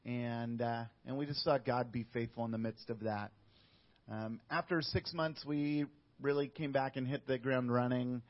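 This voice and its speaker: A middle-aged man, a missionary guest, telling his story in a casual interview with frequent pauses and fillers. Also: mid-range voice. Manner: steady, with many uh fillers and restarts. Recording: dull lo-fi audio.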